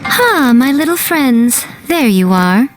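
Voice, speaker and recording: A woman's voice: high-pitched, sing-song, slow pace, exaggerated voice, rough recording. A woman voicing an elegant, sly fox shrine maiden in a video game, with a playful, teasing, theatrical manner. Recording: loud music under the voice.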